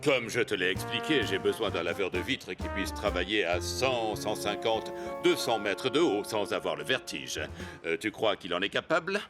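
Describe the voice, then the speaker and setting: A man's voice: fairly low pitch, lively intonation, normal speed, lightly acted. A man voicing a businesslike male building owner who gives orders in a cartoon dub. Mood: brisk. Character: brisk male building owner, stern boss. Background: music.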